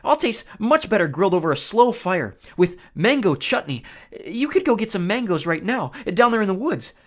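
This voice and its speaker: A man voicing a nervous teenage satyr boy in a high, squeaky, stammering voice. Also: sing-song, normal speed, fairly bright tone, exaggerated voice. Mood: panicked pleading.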